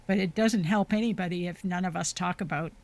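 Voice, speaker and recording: A woman's voice: lowish voice, fairly quick, a little mellow. A woman hosting a podcast, speaking earnestly and reflectively in a casual conversation over a video call. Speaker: podcast host.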